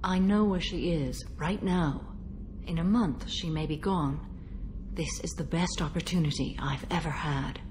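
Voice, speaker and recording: An actress playing a stoic, centuries-old alien warrior woman bound by a code in a video game, speaking in a measured, formal, solemn manner. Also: low-pitched, a little mellow, a little hiss or hum. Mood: grave, resolute. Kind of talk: video game dialogue acting.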